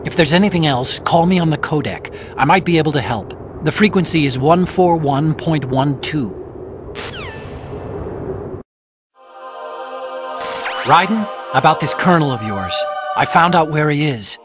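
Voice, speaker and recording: A brainy, gentle tech-support ally from a video game, acted by a man. His voice sits a little high and he explains things carefully and earnestly over loud music. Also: expressive, moderate pace, lightly acted. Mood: concerned, helpful. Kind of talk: dramatic video game voice acting.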